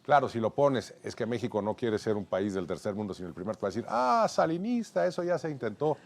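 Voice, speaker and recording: A man's voice: lowish voice, sing-song, quick pace, vocal fry. A Mexican man commenting on politics on a panel, building his case in rhythmic repeated lists. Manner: lists points in rhythmic repetitions.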